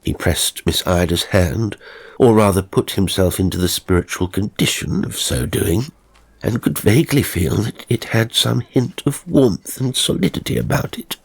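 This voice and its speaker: Deep, gravelly man narrating a story. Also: animated, moderate pace. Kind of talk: scripted ghost story narration.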